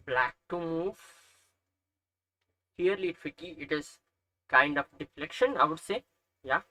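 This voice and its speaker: A man's voice: fairly high pitch, slow, bright, forceful tone, dry recording, low-quality recording. A young man from Nepal with a Nepali accent, talking through chess puzzles, thinking aloud with frequent hesitations and self-corrections. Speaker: chess learner narrating puzzles. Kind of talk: casual screen-recorded commentary.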